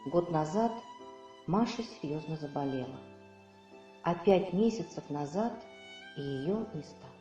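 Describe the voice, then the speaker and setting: An actress playing an elderly woman neighbour who gravely reads out her letter in a radio play. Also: low-pitched, lively intonation, measured pace, long pauses, dark tone. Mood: sombre, measured. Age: older. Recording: dull muffled sound, roomy echo, music behind.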